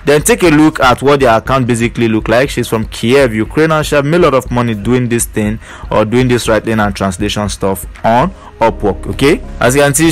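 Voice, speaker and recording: A man teaching an online earning method in a casual screen-share walkthrough, leaning on fillers like "basically" and "you know". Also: mid-range voice. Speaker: online money-making tutor.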